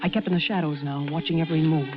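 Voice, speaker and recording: An actress playing a tense woman who narrates her part in a murder plot, in deliberate 1940s radio suspense style. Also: low-pitched, steady tone, close to an everyday voice. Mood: hushed, tense. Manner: dramatic, deliberate, suspenseful delivery. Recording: muffled vintage radio recording, loud music behind.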